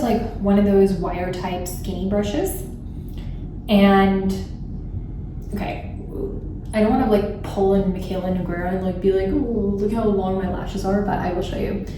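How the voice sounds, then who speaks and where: A young woman from Thailand chats casually through her makeup routine, dropping "like" into nearly every sentence. Her voice sits at an ordinary pitch and is picked up from a distance. A boomy room echo and a steady background hiss surround her. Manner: chatty, frequent "like" fillers. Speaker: beauty vlogger. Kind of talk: casual get-ready-with-me chat. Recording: roomy echo, distant mic, background noise.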